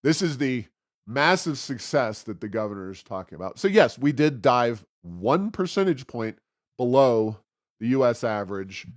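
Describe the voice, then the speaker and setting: A man's voice: medium pitch, sing-song, moderate pace, dry recording. Dry-sounding studio man, wry political commentary. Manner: wry, sarcastic asides. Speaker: co-host of a policy podcast.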